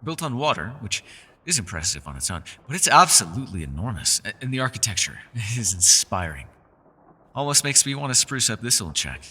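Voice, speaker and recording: A man's voice: on the low side, sing-song, a little fast, bright, forceful tone, breathy edge, long reverb tail, full character voice. A man playing a mysterious, scholarly elf in a fantasy roleplay, with a refined, theatrical and teasing manner. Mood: musing, wistful. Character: mysterious, scholarly male elf, playful yet guarded. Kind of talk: fantasy ASMR roleplay, scripted character acting.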